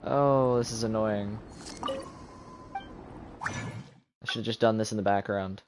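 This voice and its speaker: A man's voice: on the low side, steady tone, unhurried, noisy background. A man streaming a video game with casual live commentary, muttering to himself as he goes. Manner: muttering to himself, counting aloud. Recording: music playing behind the voice. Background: game music.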